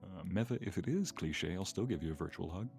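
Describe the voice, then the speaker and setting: A man's voice: low-pitched, lively intonation, fairly quick, gravelly, darkish tone, soft background music. A man chatting casually as a guest on a livestream, joking and chipping in.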